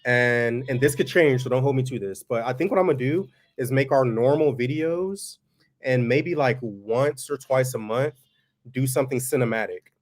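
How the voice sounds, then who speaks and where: A man with a monotone voice chatting casually to viewers as host of a family farm vlog, laid-back and folksy. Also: mid-range voice, moderate pace.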